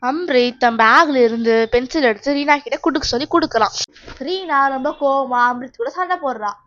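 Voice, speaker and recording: High-pitched woman narrating a children's story. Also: fairly quick, a bit bright, highs cut off.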